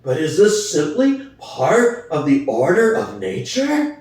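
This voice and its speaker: A man's voice: high-pitched, very animated, takes it slowly, vocal fry, darkish tone, pitched below the performer's own voice, full character voice. A man voicing an old, wise boar who gives a rousing revolutionary speech in a dramatic book reading. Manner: impassioned speechmaking, rhetorical questions, swelling emphasis. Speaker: reader. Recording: very echoey room, far from mic.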